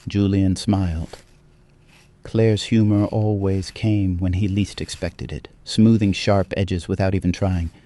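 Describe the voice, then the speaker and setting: A man narrating a scripted dramatic story in a measured, hushed, solemn manner. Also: low voice, flat delivery.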